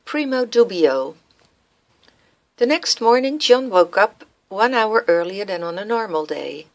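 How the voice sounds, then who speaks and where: A woman's voice: mid-range voice, lively intonation, measured pace. A woman reading scripted story narration as the narrator of a radio play. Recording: music laid under the voice.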